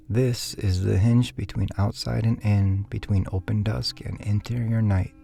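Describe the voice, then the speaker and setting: Low, gravelly man narrating sleep meditation. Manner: soft, breathy, soothing, measured delivery. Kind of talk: guided sleep meditation, scripted storytelling. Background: faint background music.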